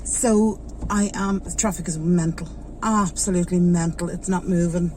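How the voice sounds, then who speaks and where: A woman's voice: on the low side, steady tone, normal speed, dark tone, a little hiss or hum. An Irish woman in her forties, chatting to her vlog camera from her car, a bit stuffed up from a sore throat. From Ireland. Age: 40s. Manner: chatty, rambling, loses her thread. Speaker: vlogger. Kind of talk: casual vlog chat while driving. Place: inside a car in traffic.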